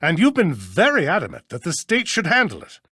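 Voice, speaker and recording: A weary, authoritative judge from a video game. The delivery is formal and deliberate, with lively pitch movement. The recording is close and dry. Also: female voice, slightly rough, no echo, heavily acted. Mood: measured, judicial. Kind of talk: scripted video game voice acting.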